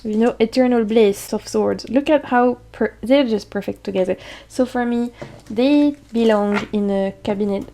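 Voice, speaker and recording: Mellow-voiced chatty woman. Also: medium pitch. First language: French. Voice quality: chatty, enthusiastic, laughs often. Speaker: tarot deck collector. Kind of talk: casual vlog chat showing decks.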